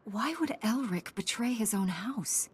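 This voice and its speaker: Confident Jedi heroine, reverberant. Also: female voice, on the high side, expressive, unhurried, husky, full character voice. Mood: resolute. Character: confident young female Jedi knight, heroic and direct. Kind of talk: video game cutscene dialogue.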